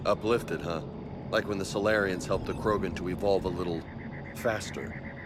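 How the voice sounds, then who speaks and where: An actor playing a steady, plain-spoken soldier in a video game. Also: male voice, sits low, normal speed, background noise, natural voice. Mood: curious.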